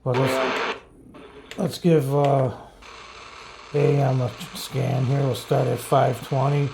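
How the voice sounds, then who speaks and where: Man casually reviewing, slight creaky voice. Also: mid-range voice, little pitch movement, takes it slowly, darkish tone, roomy sound, slight background noise, rough recording. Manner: rambling, thinking aloud, grumbling about drawbacks. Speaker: radio reviewer. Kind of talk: casual hands-on product review.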